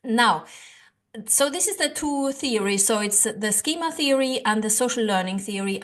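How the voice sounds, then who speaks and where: A woman whose first language is German speaks English in a somewhat high voice, earnest and rambling. She gives a casual lesson to camera, pausing on fillers and restarting her sentences as she thinks. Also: fairly bright tone. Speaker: language-learning YouTuber.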